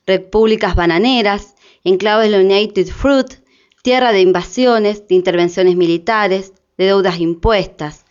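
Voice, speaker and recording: A woman's voice: mid-range voice, unhurried, darkish tone, smooth, close to an everyday voice. A woman reading scripted narration for a documentary radio drama. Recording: dull lo-fi audio.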